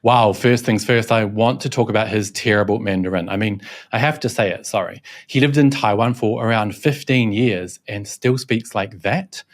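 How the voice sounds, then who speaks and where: A man's voice: fairly low pitch, moderate pace, few pauses. A young man hosting a scripted news commentary, mocking and scornful in tone. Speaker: host, reporter. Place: Shanghai.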